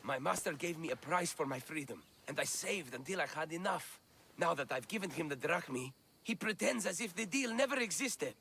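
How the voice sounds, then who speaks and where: An actor playing a wronged, devout slave in ancient Greece, speaking with earnest emotion in a video game. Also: male voice, on the high side, expressive, a little fast, creaky, sharp tone, slight background noise, exaggerated voice. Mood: bitter. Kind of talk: video game cutscene acting. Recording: music behind the voice.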